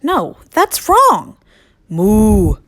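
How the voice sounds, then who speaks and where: A woman voicing a startled, excitable farmyard hen in a children's storybook, squawking and exclamatory. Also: sits high, very slow, pitched above the performer's own voice, heavily acted. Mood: alarmed.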